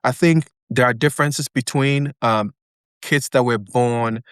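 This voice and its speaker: A man's voice: medium pitch, steady tone, clear, resonant tone, no room sound, clean studio sound. A man in his fifties, born in Ghana, speaking as a guest in an interview with frequent hesitations. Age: 50s. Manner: measured, many hesitations. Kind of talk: interview conversation.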